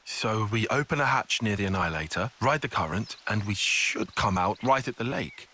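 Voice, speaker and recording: Analytical young tactician: crisp bright edge, lively inflection, wary, precise, video game cutscene. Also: male voice, fairly low pitch, moderate pace, slight background noise. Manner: precise, questioning. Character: cautious, analytical young male tactician soldier.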